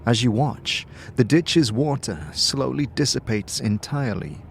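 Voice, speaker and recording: A man reading scripted second-person fantasy narration in a measured, descriptive storytelling way. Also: medium pitch, expressive, creaky, a little hiss or hum. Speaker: narrator, game master.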